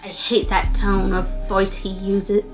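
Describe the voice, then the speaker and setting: A woman's voice: medium pitch, monotone, measured pace, poor audio. A woman reading scripted narration from a visual novel aloud, occasionally stumbling and repeating words. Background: clear background music.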